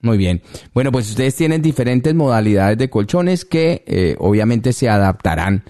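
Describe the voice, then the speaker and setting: A man's voice: mid-range voice, expressive, moderate pace, dark tone. A man hosting a radio interview, asking questions and dropping brief "ujú" acknowledgements. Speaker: radio host.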